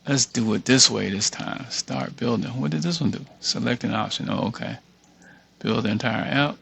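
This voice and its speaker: Man, gravelly creaky voice, informal tutorial walkthrough, muttered reading aloud, thinking out loud. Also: mid-range voice, normal speed. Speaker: tutorial creator.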